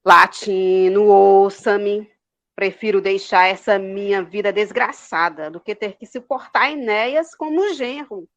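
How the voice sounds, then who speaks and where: A melodramatic queen played by a woman in a comic audio drama, with a mid-pitched voice. She is haughty and imperious, a scolding mother who makes every line theatrical. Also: measured pace, highs cut off, natural voice. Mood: pleading. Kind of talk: comedic audio drama acting.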